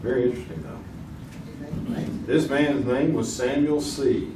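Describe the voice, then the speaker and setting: A man's voice: medium pitch, steady tone, slow, lightly acted. Man as genial quiz host in echoey room. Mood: genial, teasing. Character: genial, joking male quiz show host. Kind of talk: old-time radio quiz show hosting. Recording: roomy, distant, noisy stage recording.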